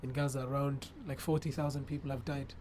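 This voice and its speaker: A man's voice: medium pitch, level tone, fairly quick. Young man in a noisy interview. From Ethiopia. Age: young adult. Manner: hesitant, careful with wording. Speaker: interview guest, international student. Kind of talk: conversational interview answers. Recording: clearly noisy background ambience.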